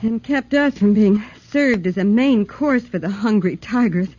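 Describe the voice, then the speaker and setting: Animated, dramatic radio-thriller woman. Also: mid-range voice, unhurried. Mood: wry relief. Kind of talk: 1940s radio drama acting. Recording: muffled, noisy 1940s radio recording.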